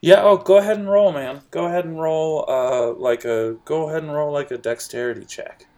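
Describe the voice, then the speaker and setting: A young man running a tabletop game as game master, narrating in a relaxed, halting way with many fillers. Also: a little high.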